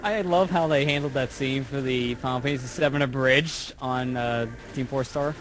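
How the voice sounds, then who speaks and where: A man's voice: mid-range voice, normal speed, poor audio. A man joking and chatting casually as a co-commentator on a let's play. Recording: faint background noise and ambience.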